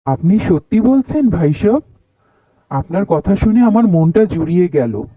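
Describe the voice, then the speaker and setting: A man voicing a rustic, soft-hearted middle-aged father in a cartoon, with a Bangladeshi accent and a pleading manner. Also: high voice, sing-song, unhurried, dry recording, narrow, tinny audio, exaggerated voice. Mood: moved, grateful. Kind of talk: Bengali cartoon voice acting.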